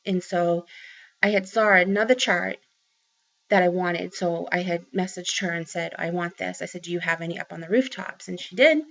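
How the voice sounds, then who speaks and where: A woman's voice: fairly low pitch, normal speed, gravelly, fairly bright tone. A woman in her forties with a Boston accent, chatting to camera about her craft haul, rambling with lots of ums and restarts. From Boston area, Massachusetts. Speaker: craft vlogger. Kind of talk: casual chat to camera. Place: small room at home, indoors. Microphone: webcam close up. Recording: dull lo-fi webcam sound.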